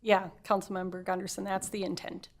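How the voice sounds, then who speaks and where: Flat-voiced woman explaining a project. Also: medium pitch, a little fast. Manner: explanatory, methodical. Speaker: city staff member on development. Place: council chamber.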